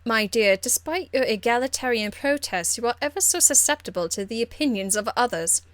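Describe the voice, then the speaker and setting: A woman's voice: a little high, expressive, brisk, dry recording, natural voice. Haughty noblewoman mage for a fantasy game played by a woman: formal, condescending, icily courteous, dry close recording. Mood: cold condescension. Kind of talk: video game character acting.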